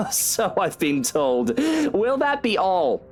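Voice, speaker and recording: A man's voice: high-pitched, very animated, takes it slowly, creaky, faint music behind, exaggerated voice. A man voicing a sardonic, brooding cheating husband in a visual novel game, with a sarcastic, dismissive manner. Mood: dry sarcasm. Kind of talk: dramatic visual novel voice acting.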